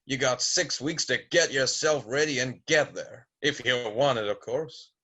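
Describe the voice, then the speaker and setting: A man plays a larger-than-life American film producer. He is chummy and breezy, talking in buddy slang at a middling pitch. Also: unhurried, pushed and bright. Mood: persuasive. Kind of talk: amateur script table read.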